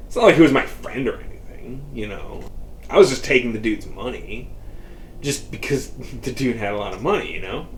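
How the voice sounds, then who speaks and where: A man's voice: medium pitch, animated, moderate pace, a little hiss or hum, natural voice, low-quality recording. A man reading as a rambling, cursing drug chemist. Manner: rambling, full of fillers and swearing. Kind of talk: audiobook dialogue reading.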